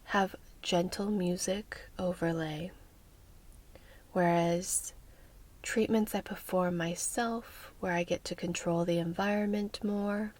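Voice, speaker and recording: A woman speaks as an ASMR host in a hushed, breathy voice that sits a little low. Her delivery is very slow and measured, with a gentle, soothing manner that often slips close to a whisper. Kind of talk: soft-spoken ASMR.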